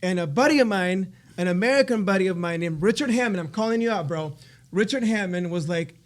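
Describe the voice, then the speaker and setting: Argentine guy cohosting a podcast, pretty high voice, jokey and upbeat, asks the questions. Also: fairly quick. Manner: joking, energetic, leads the questions. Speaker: podcast co-host. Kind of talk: casual chat. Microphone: studio podcast mic.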